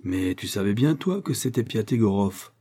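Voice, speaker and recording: A man voicing a blustering, bossy old policeman with a hoarse voice in a storybook reading. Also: fairly low pitch, lively intonation, moderate pace. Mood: scolding, threatening. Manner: shouting, threatening.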